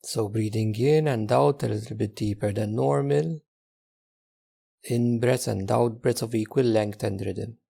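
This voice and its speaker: Husky, mellow man guiding meditation. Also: fairly low pitch. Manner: gentle, unhurried instruction with long pauses. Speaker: meditation guide. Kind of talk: guided meditation narration.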